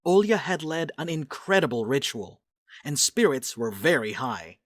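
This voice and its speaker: A man's voice: medium pitch, animated, moderate pace, a bit bright, no room sound, clean studio sound. A man narrating a dramatic reading as a scripted storyteller.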